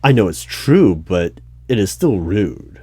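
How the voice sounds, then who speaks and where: A man playing an unnerved, exasperated hiring manager in a one-sided audio roleplay, with halting speech that repeats words. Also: low-pitched, big pitch swings, dark tone, breathy edge, no echo, exaggerated voice. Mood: wary, testing.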